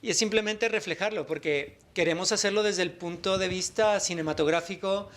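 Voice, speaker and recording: A man, a film director answering interview questions in a TV studio, measured and thoughtful with frequent hesitations. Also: high voice, a bit bright. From Mexico.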